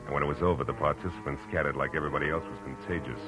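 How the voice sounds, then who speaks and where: A hard-boiled American private eye with a very deep male voice. He is wry and clipped, talking in the slangy style of 1940s radio drama, with music prominent on an old recording. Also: fairly even intonation, racing. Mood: moody narrating.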